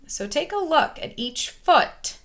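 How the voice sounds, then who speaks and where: Female teacher, children's read-aloud: expressive and child-directed, unhurried pace, lively intonation. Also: high-pitched. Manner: expressive, emphatic, question-asking, child-directed delivery. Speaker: elementary school teacher. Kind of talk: children's picture book read-aloud with commentary. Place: small furnished room at home, indoors. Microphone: webcam or laptop microphone at arm's length.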